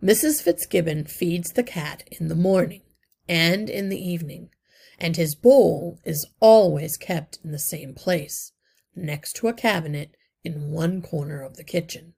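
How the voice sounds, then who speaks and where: A woman reading aloud and voicing a wise male rat leader who explains things in a measured way. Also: lowish voice, vocal fry, a little mellow, breathy edge, exaggerated voice. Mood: explaining.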